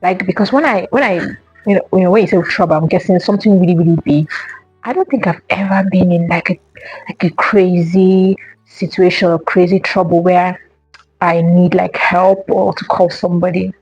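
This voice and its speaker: A woman's voice: on the low side, steady tone, moderate pace, darkish tone, slightly rough, dry recording, muffled recording, soft background music. A young woman vlogger chatting casually to camera, answering questions and repeating herself with lots of fillers.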